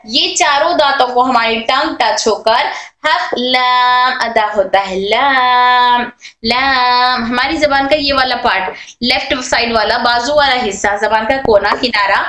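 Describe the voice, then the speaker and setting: A woman's voice: high voice, bright, forceful tone. A woman teaching Quranic letter pronunciation in a lesson, repeating each point and quizzing the listener, mixing English terms into her Hindustani. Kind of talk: explanatory lesson. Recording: echoey room.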